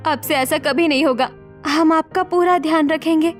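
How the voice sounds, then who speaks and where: Woman as anxious young daughter-in-law, cartoon story, high-pitched, pleading, background music. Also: moderate pace, lightly acted. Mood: apologetic, pleading. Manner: pleading, earnest.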